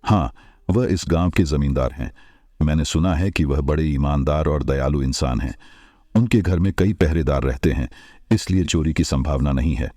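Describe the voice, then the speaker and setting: A man playing a kind, shrewd older village merchant in a cartoon story, speaking in a measured, advising way. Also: a bass voice, mellow, no room sound, studio quality. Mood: calm, reassuring. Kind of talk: Hindi cartoon moral-story voice acting.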